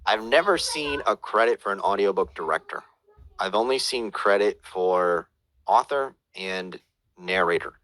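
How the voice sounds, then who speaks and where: A man's voice: fairly low pitch, lively intonation, a little slow, a bit bright, no room sound. A man teaching audiobook production, reading out viewer questions and answering them in a casual, hesitant way with many fillers and restarts.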